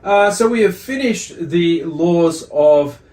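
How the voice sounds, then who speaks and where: A man giving an explanatory religious lesson as a teacher, speaking in a measured way with deliberate emphasis and repetition. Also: fairly high pitch, animated, darkish tone. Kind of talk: explanatory religious lesson, reading and commenting on a text. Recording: echoey, roomy sound.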